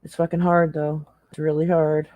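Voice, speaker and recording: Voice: medium pitch, flat delivery, normal speed, dry recording, phone-quality sound. A livestream co-commentator chatting casually in a blunt, profane way. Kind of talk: casual chat.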